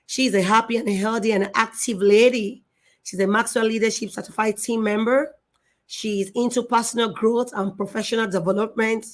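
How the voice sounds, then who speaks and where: A woman's voice: fairly high pitch, steady tone, normal speed. A middle-aged woman hosting a live online show, effusive and emotional, repeating herself and restarting her sentences. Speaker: show host.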